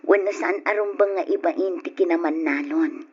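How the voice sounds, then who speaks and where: A woman plays a gentle mother who speaks softly and soothingly to her son. The sound is dull and lo-fi, like an old radio play. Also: fairly low pitch, moderate pace, slightly rough. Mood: tender. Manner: soft and soothing. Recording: dull lo-fi audio.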